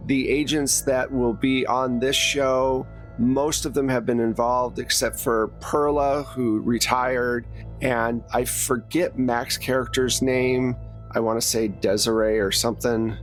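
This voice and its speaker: A man's voice: medium pitch, fairly even intonation, a little slow, soft background music. A man narrating a tabletop role-playing game as game master in a conversational, explanatory way.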